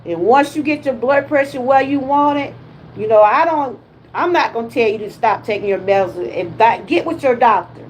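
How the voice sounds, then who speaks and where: A woman's voice: a little high, animated, moderate pace, a little hiss or hum, rough recording. Chatty Southern woman in her fifties. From Georgia, USA. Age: 50s. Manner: chatty, exclamatory, folksy. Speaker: wellness vlogger. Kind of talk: casual livestream chat.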